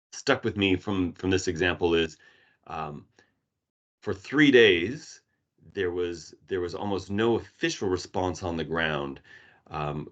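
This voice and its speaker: A middle-aged man from Arizona with an American accent, giving a relaxed webinar presentation with many fillers. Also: sits low. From Arizona, United States. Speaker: emergency management presenter. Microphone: video call. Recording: dull lo-fi webinar audio.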